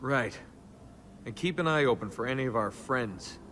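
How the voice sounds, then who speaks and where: A man's voice: medium pitch, lively intonation, normal speed, a bit bright, natural voice. An actor voicing a cocky, wry young male adventurer in a video game, flippant and conversational. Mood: wry, casual. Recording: game audio with noisy ambience behind.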